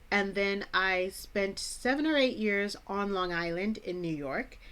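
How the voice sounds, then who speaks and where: An American woman from the Chicago suburbs who hosts an English-teaching vlog, speaking in a clear, deliberate teacher's manner with playful asides. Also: mid-range voice, sharp tone. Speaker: English teacher, channel host. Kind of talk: scripted educational vlog.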